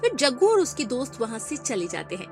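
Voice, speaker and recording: A woman tells a children's cartoon story in a clear, lively voice that rises and falls a lot. Her pitch sits fairly high. Music plays clearly underneath her. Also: fairly quick. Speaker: narrator. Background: background music.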